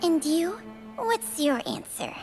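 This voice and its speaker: An actress voicing an ancient, world-weary and wry snake spirit in a fantasy game, languid and resigned. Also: female voice, extremely high pitch, big pitch swings, slow pace, exaggerated voice. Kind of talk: scripted fantasy game voice acting. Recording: music behind the voice.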